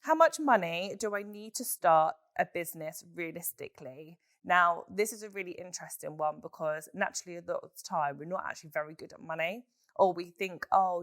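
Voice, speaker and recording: Hoarse female podcast host, frank and animated, low-ish voice, dry room. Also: no room sound. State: hoarse from a chest infection. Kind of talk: solo podcast Q&A, casual chat.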